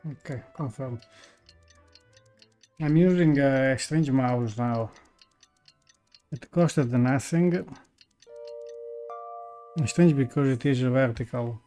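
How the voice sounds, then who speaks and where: Slow-talking, mellow male game streamer. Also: mid-range voice, faint music behind. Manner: hesitant, searching for words in non-native English. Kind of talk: casual live stream commentary. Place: indoors, live stream over game music.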